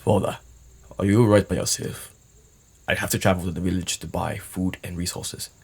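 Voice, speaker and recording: A man plays a dutiful young son in an audio drama. He sounds earnest and deferential, speaking haltingly in a fairly low, husky voice. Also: fairly even intonation, heavily acted. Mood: concerned, respectful.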